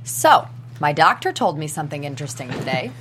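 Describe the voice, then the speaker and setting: A woman's voice: deep voice, very animated, a little fast, fairly bright tone, a little hiss or hum. A woman playing a chatty, disapproving widowed grandmother in a script read. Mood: chatty, oversharing. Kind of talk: table read of a TV pilot script.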